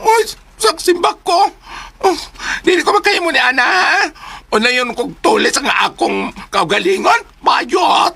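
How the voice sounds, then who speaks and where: A shrill, wailing robbed woman. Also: expressive, a little slow, gravelly, sharp tone, shouting, slight background noise, exaggerated voice. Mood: indignant. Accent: Filipino. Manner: wailing, defensive, protesting. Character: distressed, indignant woman who has been robbed. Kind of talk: Filipino radio drama acting.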